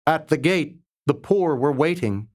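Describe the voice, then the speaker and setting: A man with a slightly high-pitched voice reads verse aloud at a very slow pace. His delivery is dramatic and reverent, each phrase set apart with deliberate pauses. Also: animated. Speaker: poetry reader. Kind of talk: dramatic, measured poetry recitation.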